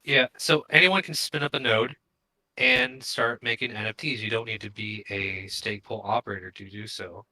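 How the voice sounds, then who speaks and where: Bright-voiced male podcast co-host. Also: on the low side, steady tone, moderate pace, poor audio. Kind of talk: casual unscripted live-stream chat.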